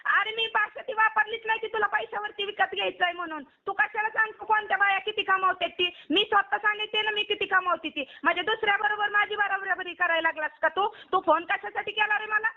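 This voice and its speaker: Very high-voiced scolding woman on the phone. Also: steady tone, brisk, few pauses. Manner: scolding, confrontational, insistent questioning. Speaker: online video performer receiving a prank call. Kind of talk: heated phone argument. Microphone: phone call. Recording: muffled lo-fi phone call recording.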